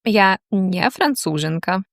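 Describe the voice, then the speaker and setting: A woman teaching a language, reading slow, deliberate question-and-answer drills with long pauses. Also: mid-range voice, lively intonation, gravelly, no room sound, studio quality.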